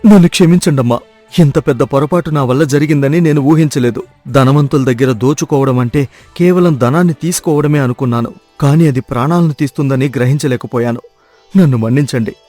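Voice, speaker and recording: A man's voice: medium pitch, a little fast, warm tone, dry recording, soft background music, lightly acted. Man playing a sincere, repentant thief. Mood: remorseful apology. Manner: earnest, remorseful. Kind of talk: dramatized moral storytelling.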